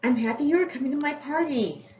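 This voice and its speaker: A woman's voice: high-pitched, animated, fast, a little mellow, pitched above the performer's own voice. A woman voicing a cheerful, curious and friendly little girl from a children's storybook. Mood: delighted. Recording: roomy, muffled, with faint background noise.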